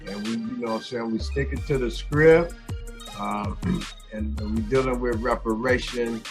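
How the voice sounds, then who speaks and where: An older man hosting a talk show, hoarse, clearing his throat often and saying "you know what I'm saying" a lot. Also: medium pitch, unhurried, soft background music. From California. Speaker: host. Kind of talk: casual talk show monologue.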